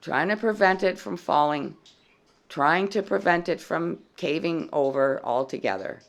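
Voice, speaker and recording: Blunt tarot reader with a froggy, gravelly voice. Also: medium pitch, measured pace. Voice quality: dry, froggy voice. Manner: blunt and plain-spoken, swears freely. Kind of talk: casual tarot reading to camera.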